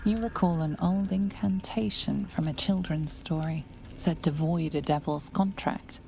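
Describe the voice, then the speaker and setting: Grave female fantasy game narrator, very low, gravelly, measured, hushed. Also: fairly even intonation, a little hiss or hum, low-quality recording. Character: solemn, watchful female storyteller guiding an adventurer.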